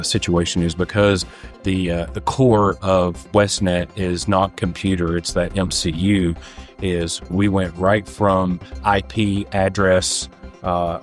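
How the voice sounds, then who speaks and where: A man speaking as a fire department official in an interview testimonial, explaining things in a plainspoken, matter-of-fact way. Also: sits low. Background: background music.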